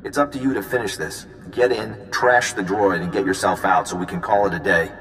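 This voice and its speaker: Easygoing, low-voiced military contact. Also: male voice, steady tone, a little fast, fairly bright tone, slight background noise. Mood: encouraging, briefing. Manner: casual, matter-of-fact. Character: easygoing, encouraging male military intelligence contact. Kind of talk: video game voice acting. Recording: reverberant, music behind.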